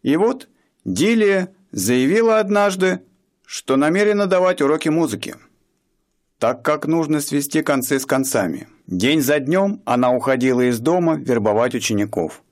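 Expressive male narrator of a radio play. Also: a little high, normal speed. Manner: expressive storytelling.

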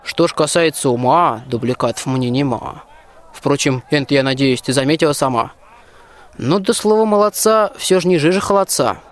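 A man's voice: fairly high pitch, moderate pace, natural voice. A young man playing a bold, plain-spoken soldier in a rhymed folk-tale radio play, with a declamatory folksy delivery. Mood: consoling, boastful. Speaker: student actor in a school radio play.

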